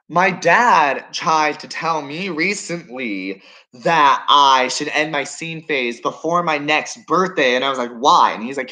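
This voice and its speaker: A man's voice: on the high side, sharp tone. A nineteen-year-old man rambling through a casual reaction vlog at home, musing and questioning himself as he talks. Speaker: vlogger.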